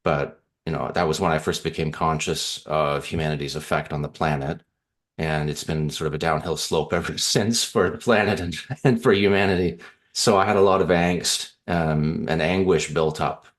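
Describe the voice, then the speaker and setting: A man from Canada with an extremely low, deep voice talks through his answers in a relaxed interview. He thinks aloud, circling back with fillers and small restarts as he explains himself. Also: normal speed. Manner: thoughtful, rambling with fillers and restarts. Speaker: author guest.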